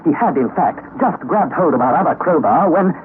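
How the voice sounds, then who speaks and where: A man's voice: a little high, expressive, brisk, natural voice. An actor playing an earnest young man who narrates his own expedition in a vintage radio drama. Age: young adult. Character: young male explorer narrating, earnest and anxious. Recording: tinny old radio broadcast, faint hiss.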